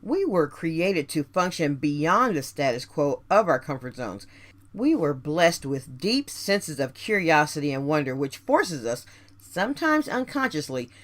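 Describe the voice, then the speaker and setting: Expressive woman giving a motivational monologue. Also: deep voice. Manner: deliberate, emphatic phrasing with rhetorical questions. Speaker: radio show host. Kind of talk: scripted motivational monologue addressed to listeners.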